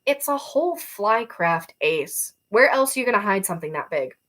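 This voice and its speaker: A woman's voice: mid-range voice, sing-song, normal speed, a bit bright, voice pushed up. A woman voicing a restless, earnest young nonbinary soldier and pilot in a novel reading, speaking casually. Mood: earnest, defensive.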